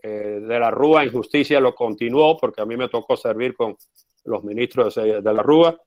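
Interview guest, male, second-language speaker, steady explanatory delivery with hesitations. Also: medium pitch, muffled recording. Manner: measured, frequent "eh" fillers, explanatory. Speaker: interview guest, former US policy adviser. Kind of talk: conversational interview answer.

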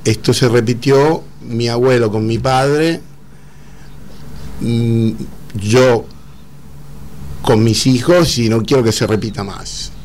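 A middle-aged man with an Argentine Spanish accent, speaking earnestly as an interview guest, often pausing and repeating words. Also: medium pitch, darkish tone, a little hiss or hum. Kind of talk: emotional conversational interview.

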